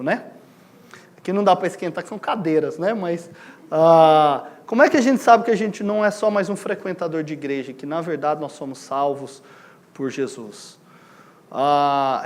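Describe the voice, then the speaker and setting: A Brazilian man preaching a sermon as a missionary in a conversational way, putting questions to his listeners and checking that they agree. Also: a little high. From Goiânia, Brazil. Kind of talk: sermon to a congregation.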